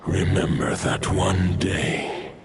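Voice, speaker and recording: A man voicing an ancient, dying wolf god in a video game, solemn, weary and resigned, speaking gravely. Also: fairly even intonation, very noisy. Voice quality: deep, gravelly. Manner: slow, grave, deliberate.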